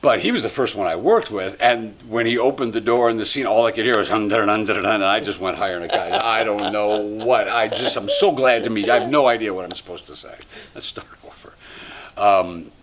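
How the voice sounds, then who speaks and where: A man in his sixties, a former soap actor, chatting easily as an interview guest in a small studio, trailing into quiet asides. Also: on the low side, brisk. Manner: easygoing storyteller, drops to murmurs and asides. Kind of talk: relaxed interview conversation. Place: small studio set with couch.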